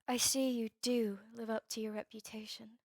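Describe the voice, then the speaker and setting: A woman playing a guarded vampire heir in a scripted ASMR roleplay, measured, formal and cool. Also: a little high, flat delivery, no echo, close to an everyday voice.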